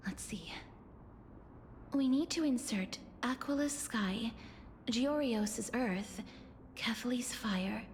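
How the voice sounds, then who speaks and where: An actress playing a gentle, solemn young woman bound to death, speaking in a measured, soft way for a video game. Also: fairly high pitch. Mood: pensive. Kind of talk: dramatic video game voice acting.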